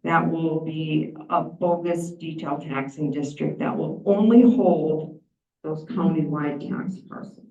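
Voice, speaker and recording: Slow, mellow-voiced woman presenting. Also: sits low. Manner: plain-spoken, explanatory. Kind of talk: meeting presentation. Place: large meeting room. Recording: echoey room, distant mic, dull sound.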